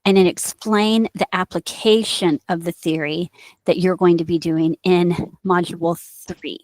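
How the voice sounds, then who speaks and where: A woman's voice: on the low side, steady tone, measured pace, no echo, poor audio. Indiana-accented woman instructor on a headset. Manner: explanatory, with frequent fillers and restarts. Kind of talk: recorded online course lecture. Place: small office with bookshelves.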